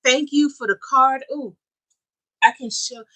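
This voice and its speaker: Bright-voiced chatty woman host. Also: high-pitched, fairly even intonation, unhurried. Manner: chatty, greets viewers by name. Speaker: co-host of a toy livestream. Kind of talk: casual livestream chat. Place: home toy museum room. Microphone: camera-mounted mic.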